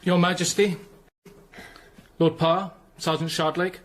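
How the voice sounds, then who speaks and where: A man's voice: high voice, little pitch movement, takes it slowly. A man playing a discreet, shrewd and respectful Tudor court official in a radio drama. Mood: careful, shrewd.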